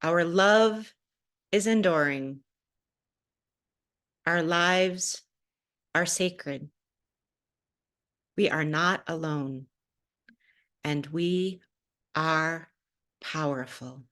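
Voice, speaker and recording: A woman worship leader reciting a solemn liturgical benediction, deliberate, with pauses between short phrases. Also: low-pitched, a bit bright.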